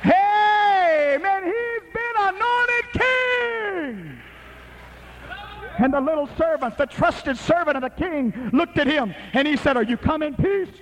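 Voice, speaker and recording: High-voiced preacher man, shouting sermon. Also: lively intonation, takes it slowly, slight background noise, rough recording. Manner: emphatic, rhythmic preaching with shouted peaks.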